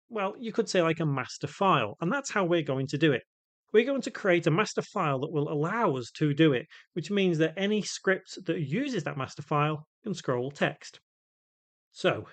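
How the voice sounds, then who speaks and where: A man hosting a step-by-step software tutorial, explaining each piece in turn. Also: a little high. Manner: explanatory, spells out code piece by piece. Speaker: tutorial host.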